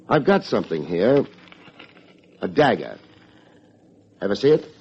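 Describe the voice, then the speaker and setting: An actor playing a wry, wordy hard-boiled private detective with dry sardonic delivery, in old-time radio drama style. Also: male voice, fairly low pitch, very animated, measured pace, darkish tone, husky, exaggerated voice. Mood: brisk, sardonic. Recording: muffled old radio recording with music.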